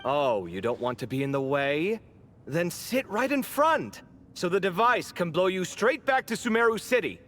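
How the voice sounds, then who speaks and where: A woman playing a sardonic, inquisitive young adventurer in a video game, with a dry, expressive delivery. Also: fairly low pitch, moderate pace, slight background noise. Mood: sarcastic. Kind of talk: video game character dialogue.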